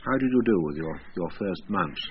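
Quick old-school radio interviewer guy, lively up-and-down voice, dull muffled sound. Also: low-pitched. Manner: brisk, lively questioning. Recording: dull old radio recording with faint hiss.

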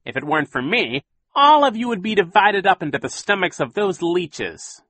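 A man putting on a loud gloating villain captain. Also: high-pitched, very animated, normal speed, no echo, voice pushed up, full character voice. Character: sneering greedy male villain sea captain.

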